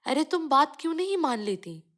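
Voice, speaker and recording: A woman's voice: high-pitched, sing-song, moderate pace, a bit bright, voice pushed up. A woman voicing a busy, exasperated city uncle in a children's cartoon story, pleading and argumentative.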